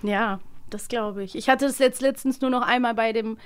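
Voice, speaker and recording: A woman's voice: fairly high pitch, moderate pace, smooth. A woman chatting casually, often rambling and breaking off her own sentences to rephrase them. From Germany. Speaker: voice actress and podcast co-host. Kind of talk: casual chat.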